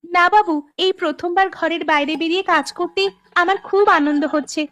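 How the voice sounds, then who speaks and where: A woman's voice: very high-pitched, steady tone, fairly quick, a bit bright, no room sound. A woman voicing a dutiful, earnest young village daughter in a cartoon story. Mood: cheerful, respectful. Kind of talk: animated story voice acting.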